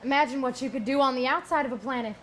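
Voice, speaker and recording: Woman playing a high-voiced, folksy starship doctor. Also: very fast, natural voice. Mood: amazed. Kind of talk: parody stage musical acting. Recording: live stage, faint ambient noise.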